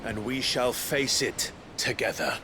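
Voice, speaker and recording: Game hero, male actor: earnest, brooding fighter, slow delivery over loud ambient noise. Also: mid-range voice, animated, close to an everyday voice. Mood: resolute. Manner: earnest, determined. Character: resolute, brooding male warrior leading his companions. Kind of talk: dramatic video game acting.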